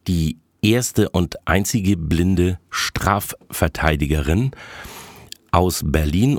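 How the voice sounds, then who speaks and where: A man's voice: low-pitched, no room sound, clean studio sound. A man co-hosting an audio report, asking questions and explaining in a conversational style. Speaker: co-host, interviewer.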